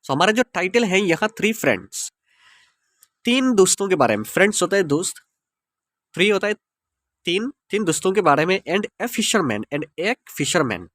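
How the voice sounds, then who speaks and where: An Indian man who speaks Hindi as his first language teaches English through a story. He reads each English line very slowly and spells words out letter by letter. His voice is high and swings widely in pitch, and the sound is dry and close. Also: very animated, a bit bright, no room sound.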